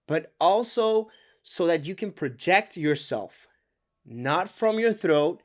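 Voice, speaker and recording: A man with a Spanish accent, a native Spanish speaker, giving a casual diction lesson in conversational English with emphatic stretched words and some stumbles. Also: a little high. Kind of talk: casual instructional talk to camera.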